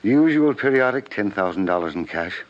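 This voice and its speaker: An actor playing a guilt-ridden, formal, wealthy older father in a 1950s radio drama, speaking with measured diction. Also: lowish voice, lively intonation, lightly acted. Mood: resigned. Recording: muffled vintage radio recording.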